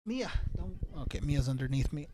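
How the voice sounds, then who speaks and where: Mellow, higher-voiced man chatting casually. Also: expressive, normal speed. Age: around thirty. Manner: rambling, repeats himself, slangy. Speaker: live streamer chatting with viewers. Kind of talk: casual chat.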